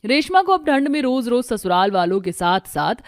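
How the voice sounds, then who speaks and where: High-voiced woman narrating a cartoon story. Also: sing-song, moderate pace, dry recording, clean studio sound.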